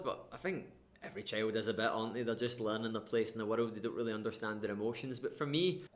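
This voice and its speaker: A man's voice: mid-range voice, a bit bright. A young man with a West Coast Scottish accent, candidly telling his own life story to the camera in a chatty, rambling way. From Scotland. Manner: candid and chatty, with frequent fillers and restarts. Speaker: coaching brand founder. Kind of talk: personal story told to camera.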